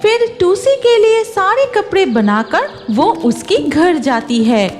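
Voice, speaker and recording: A woman's voice: very high voice, big pitch swings, slow, darkish tone. A woman narrating a scripted cartoon story for children, with music behind her voice.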